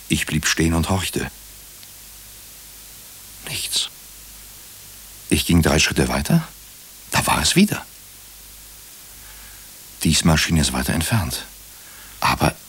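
A man playing a nervous doctor who narrates his own night-time ordeal in a suspenseful, deliberate way for a radio crime drama. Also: rumbling low, a bit bright, slight background noise, heavily acted, low-quality recording.

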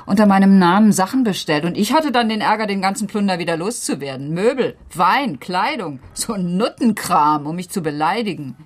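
An actress in a radio crime drama playing a defensive schoolteacher around forty who talks haltingly and insistently and is easily agitated. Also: female voice, medium pitch, expressive, natural voice. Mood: flustered, bitter. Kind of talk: naturalistic German radio crime drama acting.

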